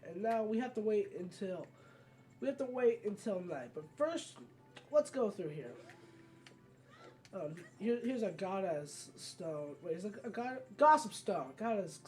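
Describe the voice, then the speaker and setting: Rambling gamer voice over loud game music. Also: mid-range voice, lively intonation, a little slow. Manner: rambling, self-correcting. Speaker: gaming video host. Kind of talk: casual let's play commentary. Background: video game music.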